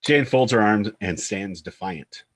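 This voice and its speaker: A man's voice: fairly low pitch, measured pace. A man reading stage directions as the narrator of a screenplay table read.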